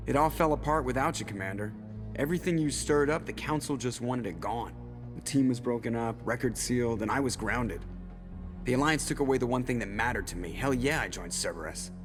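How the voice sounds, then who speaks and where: An actor playing a wry, loyal starship pilot in a video game, talking casually with a sardonic, plain-spoken manner. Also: male voice, medium pitch, a little fast, over music, close to an everyday voice. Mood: bitter, earnest. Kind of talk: scripted video game dialogue.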